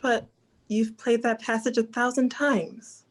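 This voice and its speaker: An actress plays a concerned woman in an old-time radio play. Her voice sits somewhat high, and she speaks in a measured, earnest manner, the steady confidante who questions and listens. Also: a little hiss or hum, natural voice. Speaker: actor. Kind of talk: 1940s radio drama acting.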